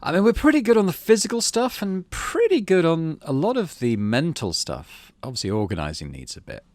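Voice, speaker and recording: A man's voice: fairly high pitch, very animated, brisk, darkish tone, no room sound. A man hosting a game let's play, narrating story text and musing aloud in casual, understated commentary. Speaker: gaming channel host.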